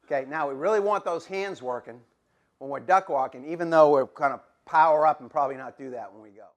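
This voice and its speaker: Middle-aged man, line coach at a clinic; folksy, repetitive, fairly high voice, hoarse. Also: quick pace. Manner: folksy, repetitive, demonstrating as he talks. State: losing his voice, coughing.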